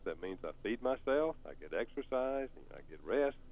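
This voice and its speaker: A man's voice: medium pitch, sing-song, normal speed. An older man with a Southern US accent, explaining things on a talk show in a folksy, storytelling way. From North Carolina. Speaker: author and counselor guest. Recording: tinny phone-like sound.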